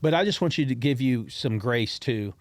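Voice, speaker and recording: A man's voice: medium pitch, animated, normal speed, dry recording. A man hosting a podcast in casual conversation. He rambles with frequent restarts and repeated words, and speaks encouragingly to his listeners. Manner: encouraging, talks straight to listeners. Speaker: podcast host.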